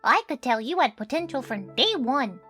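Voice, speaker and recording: A bright little cat creature , voiced by a woman, coaches and cheers on its companion in a fan-dubbed game scene. The voice sits very high and squeaky, with soft music playing faintly behind. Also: animated, moderate pace. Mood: encouraging. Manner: upbeat, encouraging. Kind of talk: fan-dub game voice acting.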